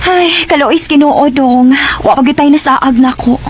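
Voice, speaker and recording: A woman's voice: high-pitched, unhurried, a little hiss or hum. A woman playing a shy, playful schoolgirl in a Cebuano radio drama, with a Cebuano Filipino accent. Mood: bashful denial. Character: shy, playful teenage girl student being courted.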